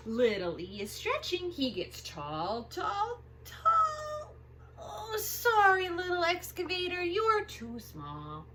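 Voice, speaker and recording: A woman reading aloud to young children as a storytime host, expressive and sing-song, drawing out the sound words. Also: extremely high pitch, takes it slowly, slight background noise. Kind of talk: animated picture-book read-aloud for young children.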